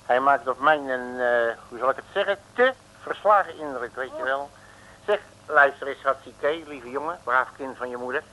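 An actor playing a cocksure, bumbling detective in an old radio comedy, full of slangy bluster and hesitations. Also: male voice, medium pitch, moderate pace, sharp tone, close to an everyday voice. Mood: patronising. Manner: hesitant fillers, folksy comic threats. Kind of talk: 1950s-60s Dutch radio comedy acting. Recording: noisy old radio recording.

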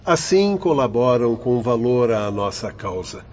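A man's voice: mid-range voice, big pitch swings, a little slow, dull audio. A man acting an earnest spirit guardian in a radio soap opera, explaining things formally. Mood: earnest, stern.

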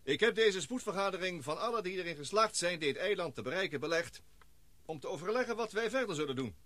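An actor playing a formal male expedition leader and scientist who speaks in measured, speechmaking tones in a radio play. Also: sits high, lively intonation, a bit bright, no room sound.

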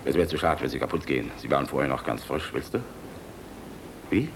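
An older man playing a gruff, fatherly, world-weary army general in a German radio play, blustery and philosophizing, shifting between barking and tenderness. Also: extremely low pitch, a little fast, noisy background. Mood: casual, teasing.

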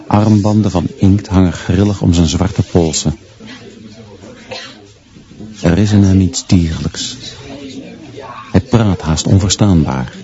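An actor playing a stern male schoolteacher who narrates a radio play in clipped, measured, grimly deadpan phrases. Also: low voice, lo-fi sound, soft background music.

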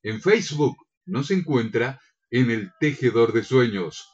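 A man's voice: mid-range voice, animated, measured pace, husky, dull audio. An Argentine man co-hosting a radio show, chatting and making announcements in a courteous, agreeable announcer's manner. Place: radio studio.

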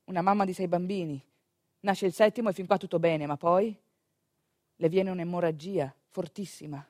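A woman's voice: fairly low pitch, fairly even intonation, darkish tone, dry recording. Woman storyteller, dry close sound. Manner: conversational, emphatic, dramatic pauses and repetitions. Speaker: actress narrator of a radio monologue. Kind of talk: theatrical storytelling monologue for radio.

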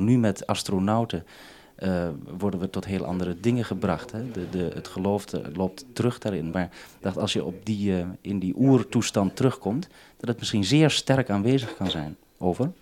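A man's voice: low voice, normal speed, a little mellow. A man hosting an unscripted two-way radio conversation, speaking hesitantly and often interrupting himself. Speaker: radio programme maker and host.

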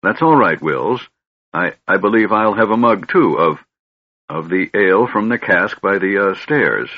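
A man's voice: low voice, animated, measured pace, no echo, voice pushed up. Man playing a stern, suspicious knight lord. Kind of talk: audiobook character voice. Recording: dull, slightly lo-fi recording.

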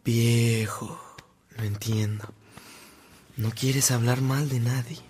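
An actor playing a compassionate old colleague of a priest in a radio drama, tender and halting. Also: male voice, mid-range voice, steady tone, a little mellow, slight background noise, natural voice. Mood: tender, moved. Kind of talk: Spanish-language radio drama acting.

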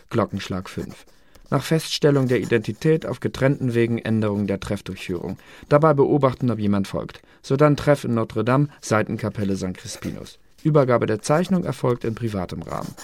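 A pedantic male intelligence official, played by an actor in a radio drama. He speaks at a medium pitch, dry and officious, like someone reading a file into the record. The phrasing is bureaucratic and exact. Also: darkish tone, natural voice. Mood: clipped, official.

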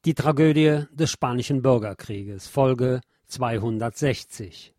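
A man reading scripted historical documentary narration in a formal, measured manner. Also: mid-range voice, darkish tone, dry recording, studio quality. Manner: formal, measured read delivery.

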